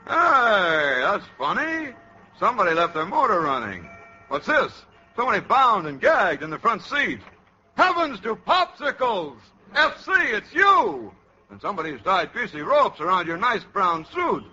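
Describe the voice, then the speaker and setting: A theatrical, put-upon employee in a fifties radio comedy. His voice is piercingly bright and swings around excitably. The recording sounds dull and old. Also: sits high, unhurried, slightly rough, faint music behind, heavily acted. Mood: astonished. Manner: theatrical, exclamatory. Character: excitable, put-upon male ice cream company underling. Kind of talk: 1950s radio comedy acting.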